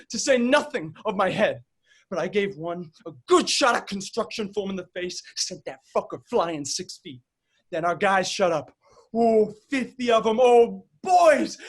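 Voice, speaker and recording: A man's voice: high-pitched, a bit bright. An actor playing a rowdy young soccer-fan protester who swears and tells his story in an agitated rush. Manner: crude, breathless storytelling, swearing.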